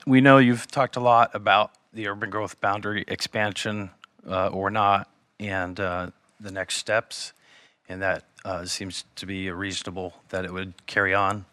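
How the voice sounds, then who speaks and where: A man with a slightly raspy voice, presenting to a city council through a mask, hesitant with many ums and restarts. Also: low voice, level tone, measured pace, a bit bright, no room sound. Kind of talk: meeting presentation. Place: council chamber. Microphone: wearing a mask.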